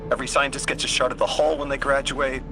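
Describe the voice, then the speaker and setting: A man's voice: little pitch movement, fast, natural voice. A man playing a wry, worried video game character in conversational, slightly hesitant dialogue. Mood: grave, conversational. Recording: noisy game ambience, vehicle rumble.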